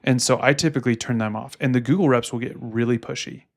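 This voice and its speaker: A man's voice: mid-range voice, steady tone, fast talker. A man co-hosting a podcast, explaining things casually and offering practical advice. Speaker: podcast co-host and Google Ads specialist. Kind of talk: casual advisory podcast talk.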